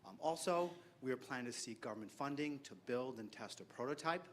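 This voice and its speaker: A man wrapping up a startup pitch and asking the audience for contacts, halting and full of fillers. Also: lively intonation, a little hiss or hum. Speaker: startup team member pitching. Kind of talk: closing a presentation.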